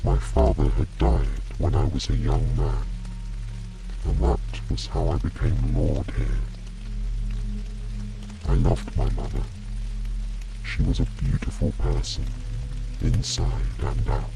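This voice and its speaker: A man voicing a remorseful, reflective lord of a lonely chateau in a bedtime story, soft and woeful. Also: a bass voice, flat delivery, gravelly, full character voice, poor audio. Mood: regretful, reflective. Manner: woeful, halting, confessional. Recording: rain and music behind.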